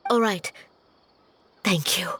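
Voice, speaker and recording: A woman's voice: mid-range voice, slow pace, fairly bright tone, a little hiss or hum. A woman playing an anxious, pleading village mother in a video game, polite and deferential. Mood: grateful. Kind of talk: English video game voice acting.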